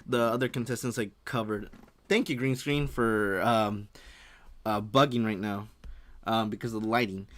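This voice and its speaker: A man's voice: lowish voice, fairly even intonation, moderate pace, a bit bright. A young man streaming a casual reaction, rambling and filling his talk with "like" and "dude". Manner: rambling, heavy use of "like" and "dude".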